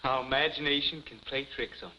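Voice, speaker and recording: An actor playing a naive, earnest young amateur detective with boyish, stammering eagerness in a 1950s television comedy. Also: male voice, mid-range voice, a little fast, natural voice. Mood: puzzled. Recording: muffled, hissy 1950s television soundtrack.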